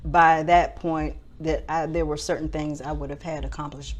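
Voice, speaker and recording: A woman's voice: deep voice, level tone, moderate pace. A woman in her fifties hosting a casual interview before a studio audience, often murmuring agreement. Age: 50s. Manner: affirming, frequent backchannel responses. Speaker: host.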